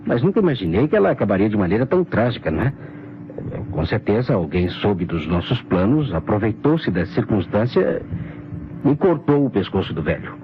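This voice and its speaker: Low-voiced Brazilian gentleman suspect. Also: very animated, fairly quick. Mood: self-justifying. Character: smooth-talking gentleman suspect, a gambler feigning innocence. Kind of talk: old Brazilian radio mystery acting. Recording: dull, noisy old radio recording.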